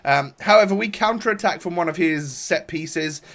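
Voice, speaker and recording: A man's voice: a little high, normal speed. A young man with a stuffy, congested voice, giving rambling casual commentary over his own football gameplay. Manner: rambling, relaxed, frequent fillers and restarts. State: congested from a cold. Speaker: gaming YouTuber. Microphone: desk microphone.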